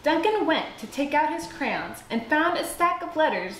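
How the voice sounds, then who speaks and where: A woman reading a children's storybook aloud in an expressive, dramatic way for young listeners. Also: high-pitched, normal speed, a little hiss or hum. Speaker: children's book read-aloud host. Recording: roomy echo with music behind. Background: music.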